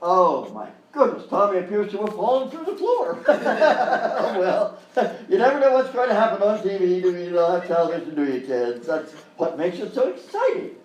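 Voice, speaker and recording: An actor playing a falsely cheerful, patronizing children's TV host in a live comedy parody. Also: male voice, high-pitched, big pitch swings, normal speed, few pauses, highs cut off. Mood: chirpy. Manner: exaggerated jolly, patronizing. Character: forced-cheerful grown-up male children's TV show host. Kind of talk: parody of early local kids' TV, live sketch acting. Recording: roomy live stage, distant mic, faint noise.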